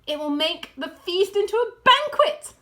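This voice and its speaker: A woman's voice: extremely high pitch, very animated, moderate pace, pushed and bright, voice pushed up, full character voice. A woman voicing a confident, fatherly male fox leader in a children's storybook, giving firm instructions. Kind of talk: children's storybook read aloud.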